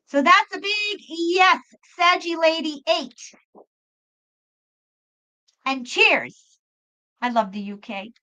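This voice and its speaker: A woman's voice: extremely high pitch, takes it slowly, long pauses, pushed and bright. A woman hosting a live tarot reading, chatting casually with viewers in an emphatic way and often repeating her phrases. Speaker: host and tarot reader. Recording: dull lo-fi live stream audio.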